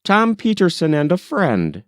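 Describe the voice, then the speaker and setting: A frank young gentleman from a storybook voiced by a male narrator, cheerful and full of banter. His pitch lifts and swings as he teases, in a dry, close recording. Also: wildly swooping pitch, measured pace, no room sound, heavily acted. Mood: friendly, polite.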